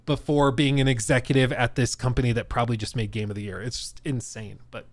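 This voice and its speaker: Male podcast host, chatty and informal livestream commentary, slangy, slightly low voice, dry close sound. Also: flat delivery, fast, no echo. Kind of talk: casual livestream gaming news commentary.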